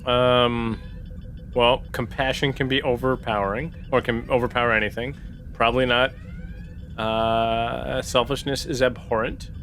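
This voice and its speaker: A man's voice: medium pitch, flat delivery, a little slow, a bit bright. A man taking part in a tabletop role-playing game, casually talking through the options as a player. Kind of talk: casual out-of-character game discussion. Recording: faint background noise.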